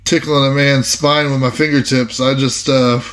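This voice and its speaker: A man's voice: mid-range voice, fairly even intonation, takes it slowly, fairly bright tone. A man playing a tabletop game, chatting casually as he decides what his character does.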